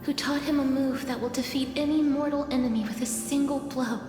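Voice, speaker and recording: A woman playing a solemn, melancholy young woman tied to death in a fantasy game, speaking in grave, measured, formal phrases. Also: high voice, steady tone, close to an everyday voice. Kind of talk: scripted fantasy game voice acting. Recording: roomy reverberant hall, music behind.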